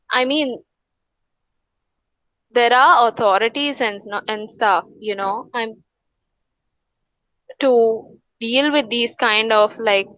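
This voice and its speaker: A woman from India, a wellness counsellor, calmly giving advice in a webinar, with many fillers and restarts. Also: on the high side, no echo, rough recording. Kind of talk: webinar Q&A answers.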